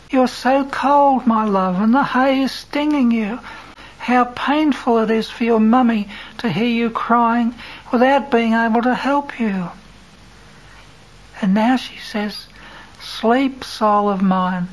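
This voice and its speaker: A storyteller voicing a shy young shepherd boy who speaks in a hushed, wonder-struck way. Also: mid-range voice, pitched above the performer's own voice, natural voice, poor audio.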